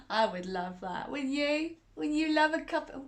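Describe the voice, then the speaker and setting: A woman reading a children's picture book aloud, chuckling often and asking the listener questions. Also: high voice. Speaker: children's storyteller.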